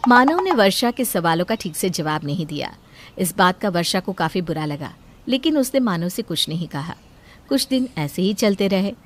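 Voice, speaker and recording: A woman's voice: fairly low pitch, animated, normal speed. A woman narrator telling a family story in scripted narration.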